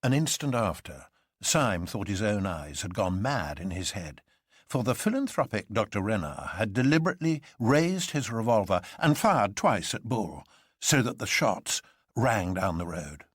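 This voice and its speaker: Male narrator for dramatic fiction: lowish pitch, lively intonation, close dry sound. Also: moderate pace, breathy edge, dry recording. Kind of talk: scripted dramatic story narration.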